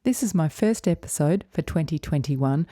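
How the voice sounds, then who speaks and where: A middle-aged woman, hosting a wellbeing podcast in a measured, deliberate way. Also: low voice, animated, warm tone, no echo, clean studio sound. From Australia. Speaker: podcast host. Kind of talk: scripted intro then interview questions.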